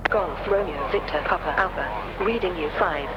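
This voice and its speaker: Guy playing a high voiced atc tower controller in a flight sim, brisk clipped radio talk, muffled with loud noise. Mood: brisk, official. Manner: clipped, procedural. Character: male tower air traffic controller, brisk and official. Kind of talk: formal radio phraseology. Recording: dull radio channel, loud engine noise.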